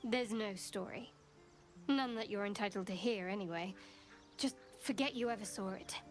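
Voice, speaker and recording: An actress playing a secretive, sardonic young adventurer in a fantasy game, dry and evasive. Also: female voice, mid-range voice, normal speed, faint music behind, lightly acted. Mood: guarded, dry.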